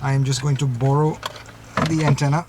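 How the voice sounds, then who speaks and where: A man's voice: mid-range voice, little pitch movement, fairly quick. A man giving a casual product demonstration inside a car, explaining things step by step. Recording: clear car-interior background noise. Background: vehicle ambience.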